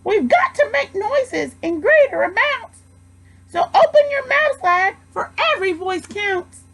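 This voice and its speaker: A tiny storybook mayor, acted out by a woman, crying out in a very high voice. He sounds frantic and urgent, stretching his words as he rallies his people. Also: animated, unhurried, fairly bright tone, exaggerated voice. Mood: dramatic, rallying. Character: frantic small male mayor of tiny creatures, pleading urgently. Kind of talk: storybook character voice.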